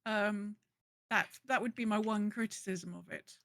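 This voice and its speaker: A woman's voice: medium pitch, fairly even intonation, unhurried, fairly bright tone, dry recording. Woman chatting casually, close and dry. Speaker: podcast host. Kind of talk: casual gaming podcast chat.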